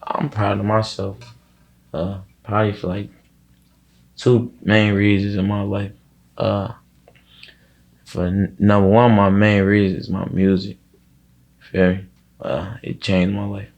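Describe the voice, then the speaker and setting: A man with a low voice and a heavy fry in it talks in a casual interview. He is reflective and slangy, feeling his way through sentences with plenty of filler words. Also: little pitch movement, measured pace, long pauses, a little mellow. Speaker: rapper, interview guest.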